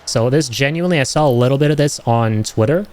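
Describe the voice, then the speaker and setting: A man's voice: medium pitch, quick pace, a little mellow, slight background noise. Chatty man reacting to game footage. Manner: chatty, informal, enthusiastic fan talk with slang. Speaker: host of a gaming reaction channel. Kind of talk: casual reaction commentary over game footage.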